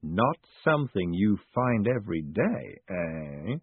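A man's voice: deep voice, very animated, slow pace, husky, no room sound, exaggerated voice. A man voicing a big, keen pin-shop keeper in an audiobook, proud and furtive, with a knowing, confiding salesman's patter. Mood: wary, knowing. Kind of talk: audiobook character acting. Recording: muffled narrow phone-like sound.

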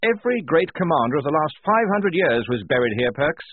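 Guy doing a keen oblivious young army officer in a story, posh earnest talk, pitched way up. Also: very animated, brisk, breathy edge, phone-quality sound, heavily acted. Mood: awed excitement. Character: pompous, eager, oblivious young male army lieutenant.

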